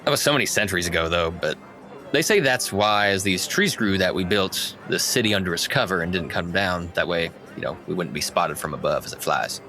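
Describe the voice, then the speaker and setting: A man's voice: low-pitched, fairly quick, pushed and bright. A man playing a chatty, obliging tavern keeper in a tabletop game, folksy and rambling. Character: chatty, obliging male tavern keeper sharing local legend.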